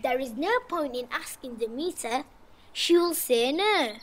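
A woman playing an impulsive underworld god in an audio drama, slow and forceful. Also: high voice, animated, slight background noise. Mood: smitten, scheming. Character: impulsive underworld god, dazzled, wanting a bride. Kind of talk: school audio drama acting.

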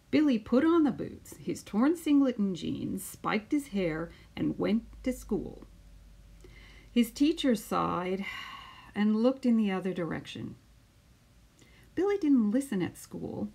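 A woman in her fifties with a creaky, slightly husky voice and a medium pitch. She speaks as a teacher does when reading a picture book to children, phrasing deliberately and leaning on key words. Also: dark tone. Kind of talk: children's storybook read-aloud.

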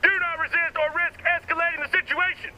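Fast, piercing officious corporate soldier. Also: male voice, very high voice, flat delivery, pushed and bright, slight background noise, heavily acted. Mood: officious menace. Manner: stiff, formal legalese recited by rote. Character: officious corporate soldier, coldly bureaucratic, menacing.

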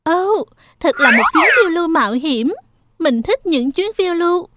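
Sweet excitable young girl, big sister in a cartoon, very high voice. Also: moderate pace, very noisy. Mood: amazed, eager.

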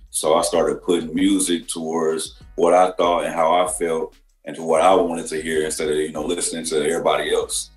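A man in his early twenties, a rapper guest, chatting casually and briefly from a couch. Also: low-pitched. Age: 20s. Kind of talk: casual interview chat. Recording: roomy echo, faint music.

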